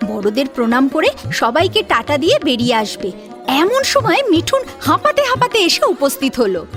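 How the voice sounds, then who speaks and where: High-pitched woman narrating a dramatic story. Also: big pitch swings, moderate pace. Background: faint background music.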